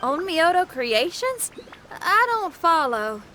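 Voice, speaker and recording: A woman voicing a confident, easygoing young female musician in a video game, casual, curious and expressive. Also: very high-pitched, slow, slight background noise, exaggerated voice. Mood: casual, questioning. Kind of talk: video game character acting.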